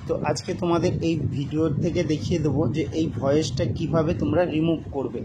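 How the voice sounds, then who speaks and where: A man's voice: a little high, fairly even intonation, quick pace. A man giving step-by-step instructions as a tutorial host, addressing viewers directly and repeating himself. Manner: repetitive instructional talk addressing viewers as friends. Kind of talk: spoken step-by-step phone app tutorial. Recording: loud background noise.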